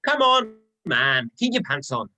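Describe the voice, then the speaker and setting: Man playing a high, flippant trickster god. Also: very animated, a little slow, sharp tone, heavily acted, rough recording. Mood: mocking. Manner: flippant, sarcastic. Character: sardonic, petulant male trickster god. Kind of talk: amateur dramatic reading of a myth.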